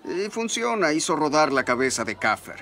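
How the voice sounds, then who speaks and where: A man's voice: fairly high pitch, very animated, normal speed, pushed and bright, full character voice. An actor dubbing a chatty male merchant who gossips and boasts. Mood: gossipy. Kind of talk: Spanish dub of a historical series.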